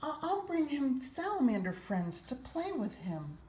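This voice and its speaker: A woman voicing an eager, imaginative young boy in a children's storybook, earnest and enthusiastic with drawn-out phrasing. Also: sits high, very animated, a little mellow, voice pushed up. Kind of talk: children's storybook read-aloud. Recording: roomy echo, faint background noise.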